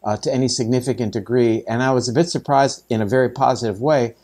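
Guy hosting a podcast, mellow warm voice, chatty with lots of ums. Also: mid-range voice, fairly even intonation, fast talker. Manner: conversational, with many fillers. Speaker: podcast host. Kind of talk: interview questions.

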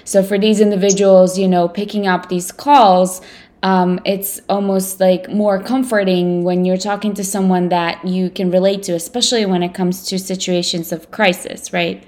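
A woman's voice: mid-range voice, level tone, moderate pace, a little mellow. A woman from Ukraine, a native Russian speaker, talking thoughtfully and a bit hesitantly in a conversational interview. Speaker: interviewer, security professional.

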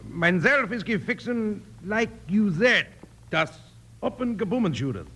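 An actor playing a nervous, comical old gunsmith in a radio western, mangling English with a thick Pennsylvania Dutch German accent. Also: male voice, sits high, very animated, unhurried, fairly bright tone, breathy edge, exaggerated voice. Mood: nervous, eager. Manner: jittery, mangled English with invented German words. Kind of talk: 1950s radio western acting. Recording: noisy old radio recording.

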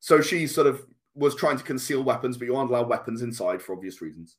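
Mid-pitched man explaining in halting conversation. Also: animated. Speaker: podcast guest, comic writer.

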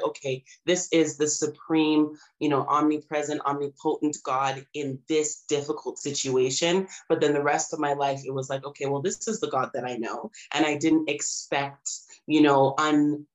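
A woman, a little raspy and hoarse from a cold, telling her personal story in an earnest, reflective way as a podcast guest. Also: low voice, steady tone, normal speed, hardly any pauses, low-quality recording. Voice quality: slightly raspy. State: recovering from a cold, slightly hoarse. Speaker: podcast guest, empowerment coach and holistic healer.

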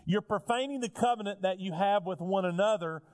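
A male pastor preaching a sermon while fighting a cold, his voice a little raspy, building earnest lists of repeated phrases. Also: sits high, brisk, darkish tone. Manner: earnest preaching, repetitive lists, rising emphasis. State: has a cold, slightly raspy.

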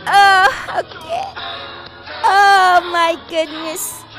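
A woman's voice: very high voice, big pitch swings, very slow, a bit bright, faint music behind, pitched above the performer's own voice, heavily acted, low-quality recording. Mrs. Singalot, a woman playing a gushing mother. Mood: ecstatic. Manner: gushing, exclamatory, squealing with delight. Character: excitable cheerful middle-aged mother, Mrs. Singalot.

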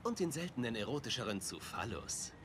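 A man's voice: medium pitch, very animated, fairly quick, fairly bright tone, a little hiss or hum. A man playing a whimsical detective, wildly swooping delivery. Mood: grandly confident. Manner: whimsical, theatrical, swings from grand to offhand. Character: eccentric, cheeky, self-assured male private detective. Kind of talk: dubbed TV comedy-mystery drama acting.

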